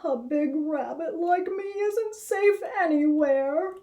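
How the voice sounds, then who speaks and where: Storybook rabbit played by a woman: big but timid, male, weary and worried, higher-pitched. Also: slow, dark tone. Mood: weary despair.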